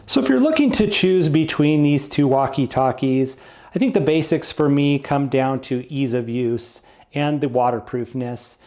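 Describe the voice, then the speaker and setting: A man's voice: mid-range voice, lively intonation, normal speed, darkish tone. A man giving a casual product review, explaining things in a plain-spoken, non-technical way.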